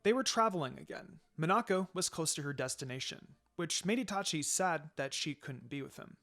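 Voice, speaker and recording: A man's voice: fairly high pitch, animated, moderate pace, pushed and bright. A man reading fan fiction aloud as a dramatic story narrator.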